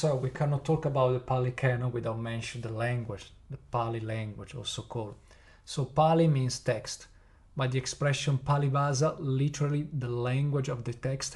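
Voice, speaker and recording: A man's voice: mid-range voice, darkish tone. Italian-accented man explaining patiently. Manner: measured, explaining step by step with hesitant fillers. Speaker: podcast host. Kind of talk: explanatory podcast lecture.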